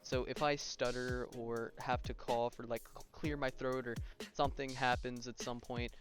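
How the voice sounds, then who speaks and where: A man's voice: mid-range voice, flat delivery, normal speed, over music. A young man giving a casual video game tutorial, talking informally and directly to viewers and often checking in with them. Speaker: gaming tutorial host.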